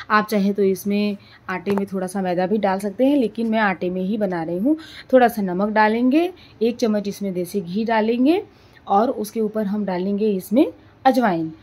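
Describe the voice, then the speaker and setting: A woman's voice: medium pitch, normal speed, a little mellow. A woman narrating a home cooking tutorial in an explanatory, step-by-step way. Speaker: home cooking show host.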